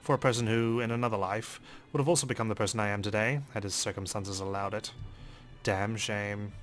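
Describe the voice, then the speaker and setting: Brisk male narrator reading dramatically. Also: on the low side, fairly even intonation, fairly bright tone, faint music behind. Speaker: let's play narrator. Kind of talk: dramatic first-person visual novel reading.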